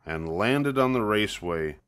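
A man's voice: on the low side, expressive, measured pace, a bit bright, clean tone. An older man reading a children's picture book aloud, expressively, leaning on key words. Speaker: storybook reader.

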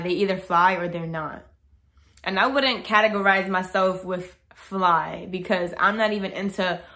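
Blunt young woman chatting to camera. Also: on the low side. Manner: blunt, outspoken, animated with hands. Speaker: vlogger. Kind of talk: casual talk to camera. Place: bedroom, indoors.

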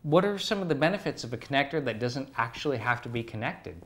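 An enthusiastic male host in his forties, leading an interview from a living room set. His voice sits at a middle pitch, and he asks his questions with an upbeat energy. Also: lively intonation, quick pace, fairly bright tone. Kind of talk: interview hosting.

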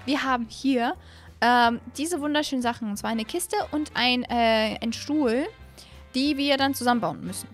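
A woman in her twenties giving casual, rambling let's-play commentary in an expressive way, close on a studio microphone. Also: on the high side, normal speed, soft background music. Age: 20s. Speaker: host of a gaming channel. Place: small indoor streaming room at home. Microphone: close studio microphone on an arm.

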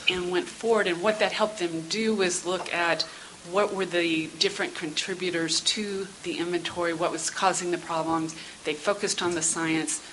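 Middle-aged woman, lowish voice, formal public comment, businesslike delivery, table microphone in a meeting room, light background hiss. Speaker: stakeholder speaker at a public council meeting. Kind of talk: prepared public comment, formal but conversational. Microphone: gooseneck table microphone. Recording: hissy meeting-room sound.

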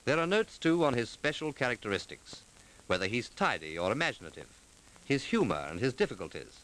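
A man delivering formal, measured scripted narration in the manner of a 1940s documentary, clearly enunciated. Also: mid-range voice, very animated, a bit bright, poor audio. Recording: vintage 1940s film soundtrack.

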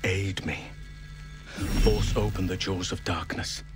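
A solemn, devoted druid elf. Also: male voice, low voice, steady tone, music in the background. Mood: solemn pleading. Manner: grave, measured, formal diction. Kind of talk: dramatic game cutscene acting.

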